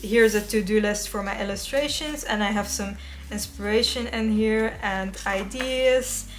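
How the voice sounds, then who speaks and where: A woman's voice: medium pitch, measured pace, slight background noise. A young woman whose first language is Dutch, chatting casually and self-deprecatingly while flipping through her sketchbook. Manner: chatty, self-deprecating, frequent little laughs. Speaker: artist vlogger. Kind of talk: casual sketchbook tour voice-over.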